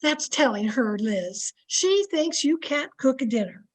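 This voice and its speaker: A woman's voice: sits high, expressive, a little slow. A woman playing a supportive, chirpy housewife best friend in an old-time radio sitcom, coaxing and wry in a girlish way. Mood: encouraging. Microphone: home microphone over video call.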